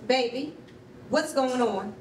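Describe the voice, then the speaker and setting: High-voiced stressed mother, played by a woman. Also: takes it slowly. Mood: exasperated. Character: stressed, exasperated, strict mother of a schoolgirl. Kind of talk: staged reading acting. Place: concrete rehearsal hall, live staged reading. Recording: roomy, distant mic, noisy rumble.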